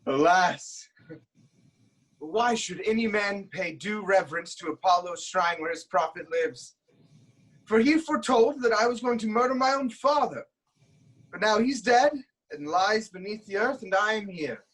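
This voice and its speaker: A man's voice: sits high, expressive, a little slow, fairly bright tone, heavily acted, rough recording. An actor playing a proud, troubled king haunted by prophecy, in an intense, declamatory reading of Greek tragedy. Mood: shocked, bitter.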